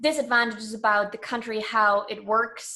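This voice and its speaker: Higher-voiced woman from Germany, chatting. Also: level tone, a little fast, sharp tone. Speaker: interview guest. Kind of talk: casual conversational interview.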